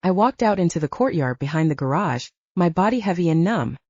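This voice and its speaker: A woman's voice: lowish voice, lively intonation, fairly quick, darkish tone, no echo, lo-fi sound. A woman reading first-person fiction as a scripted audiobook narration.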